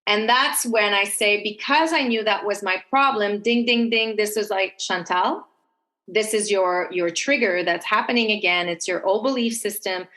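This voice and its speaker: Female podcast guest, medium pitch, conversational advice-giving, explains by acting out inner dialogue. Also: moderate pace, pushed and bright. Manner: explanatory, voices her own self-talk.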